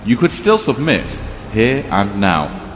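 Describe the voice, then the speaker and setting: An actor playing a proud, composed vampire king with a measured, sardonic, regal manner in an audio drama. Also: on the low side, huge pitch swings, exaggerated voice. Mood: firm, regal. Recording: long reverb, music underneath.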